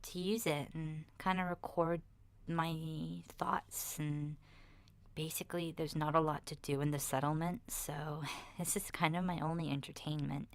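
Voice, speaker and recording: Woman voicing a slow, soft-spoken, shy young boy. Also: deep voice, fairly even intonation. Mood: hesitant, earnest. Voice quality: soft, breathy, often dropping to a whisper. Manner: hesitant, shy, rambling with sighs and self-corrections. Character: awkward, rambling ten-year-old boy, a zombie apocalypse survivor. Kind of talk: ASMR roleplay, soft-spoken recorded diary monologue.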